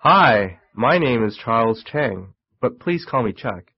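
Easygoing Chuck, husky. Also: male voice, medium pitch, animated, a little slow, exaggerated voice. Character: adult man Chuck, friendly and relaxed. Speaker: dialogue actor. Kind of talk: scripted textbook dialogue. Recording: muffled lo-fi tape.

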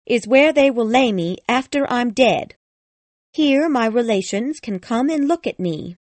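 A woman acts out an imperious, death-obsessed old lady from a classic storybook. Her voice sits fairly high and speaks in sharp, cruel commands. The sound is very dry, with no room around it. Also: lively intonation, a little slow, no echo. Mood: imperious, morbid. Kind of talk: acted audiobook dialogue.

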